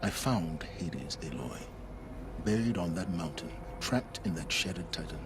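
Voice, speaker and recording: An actor playing a secretive, scheming male scholar in a video game, speaking gravely and cryptically. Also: deep voice, expressive, unhurried, background noise.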